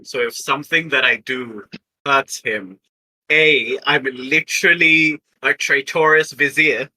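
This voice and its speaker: A man's voice: medium pitch, unhurried, bright, forceful tone, low-quality recording. A man playing a tabletop roleplaying game, talking casually and reasoning aloud as he goes.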